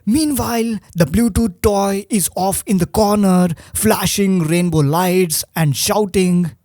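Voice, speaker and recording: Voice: mid-range voice, takes it slowly, mellow, no room sound, studio quality. A presenter narrating a scripted video review, with a wry, rhetorical manner.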